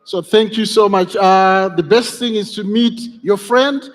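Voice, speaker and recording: High-voiced man leading a workshop. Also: steady tone, unhurried. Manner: encouraging, repeats key phrases. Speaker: workshop facilitator. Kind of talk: interactive workshop talk to an audience. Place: large conference hall. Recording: reverberant conference hall.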